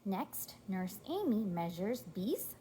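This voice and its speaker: Woman reading aloud, very lively pitch. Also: slow, a little hiss or hum, rough recording. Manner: encouraging, prompting and praising. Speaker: parent reading aloud to a young child. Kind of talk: read-aloud storytime to a toddler.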